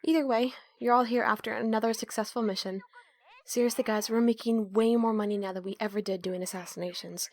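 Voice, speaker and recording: A woman's voice: fairly high pitch, fairly even intonation, a little fast, lightly acted. A woman playing a snarky, put-upon young student accomplice in an audio roleplay, trading sarcastic banter. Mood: teasing. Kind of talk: audio drama roleplay dialogue.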